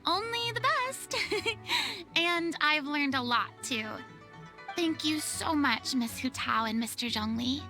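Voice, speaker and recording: A woman's voice: sits high, expressive, slow, music in the background, heavily acted. A woman playing a flustered, theatrical young former leader in a video game, polite and eager, with a stammering, dramatic delivery. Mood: cheerful. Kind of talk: video game character acting.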